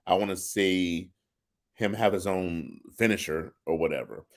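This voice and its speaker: A man's voice: low-pitched, measured pace, crowd noise. A man co-hosting a casual talk show, giving blunt, opinionated takes. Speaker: podcast co-host.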